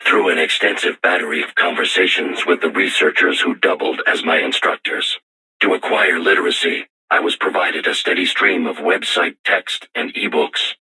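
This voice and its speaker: A young but learned robot weapon for a video game. The voice is very deep, piercingly sharp and heavily distorted by machine processing. It speaks in formal, measured, stilted phrases with a solemn temper. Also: male voice, fairly even intonation, husky, exaggerated voice. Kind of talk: scripted video game voice acting.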